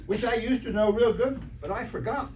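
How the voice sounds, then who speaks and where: An actor playing a dim, earnest, slangy teenage schoolboy in old-time radio comedy, slow-witted, halting and folksy. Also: male voice, extremely high pitch, a little mellow, recorded from a distance. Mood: earnest, muddled. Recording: roomy stage recording from afar, hiss.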